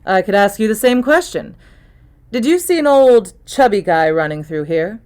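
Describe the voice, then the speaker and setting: A woman's voice: mid-range voice, lively intonation, moderate pace, darkish tone, close to an everyday voice. A woman voicing a tough, wry female detective in an audiobook, dry and matter-of-fact. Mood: wry, brisk. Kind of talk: acted audiobook dialogue.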